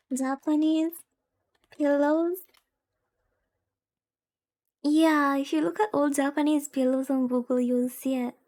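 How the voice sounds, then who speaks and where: A woman's voice: sits high, mellow. A woman streamer reading a visual novel aloud in a soothing way, with cutesy, gushing chatty asides. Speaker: VTuber streamer.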